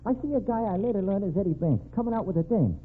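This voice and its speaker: High-voiced little American street crook. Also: male voice, lively intonation, fast. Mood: matter-of-fact. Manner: slangy tough-guy street talk. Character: small shabby streetwise male pickpocket, blunt and defensive. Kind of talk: old-time American crime radio drama acting. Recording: tinny noisy old radio recording.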